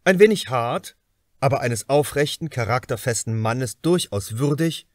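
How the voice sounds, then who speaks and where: A man's voice: mid-range voice, expressive, moderate pace, dry recording, clean studio sound. A man reading a crime novel aloud as a scripted audiobook narration.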